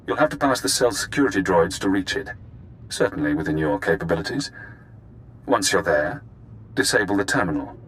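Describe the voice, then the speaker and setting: An actor playing a sinister, calculating engineered prisoner in a sci-fi video game, cool and measured. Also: male voice, low-pitched, expressive, a bit bright, a little hiss or hum. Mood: clinical.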